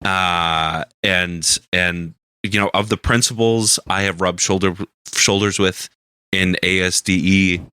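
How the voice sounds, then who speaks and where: Low, bright-voiced earnest man. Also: fairly even intonation, slow, smooth, dry recording, studio quality. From Canada. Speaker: radio show co-host. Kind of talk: casual chat.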